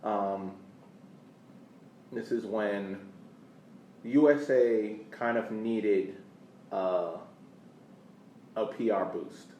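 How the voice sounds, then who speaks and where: An American man co-hosting a podcast, talking casually about sports in a halting way, repeating words as he searches for phrasing. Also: lowish voice, a little mellow. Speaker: podcast co-host. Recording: echoey room, distant mic, faint background noise.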